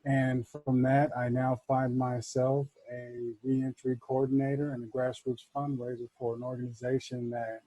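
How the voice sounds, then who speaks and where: Flat, mellow-voiced man in his forties. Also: mid-range voice, normal speed, few pauses, no echo, low-quality recording. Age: 40s. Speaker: reentry coordinator. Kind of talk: casual speech to an audience.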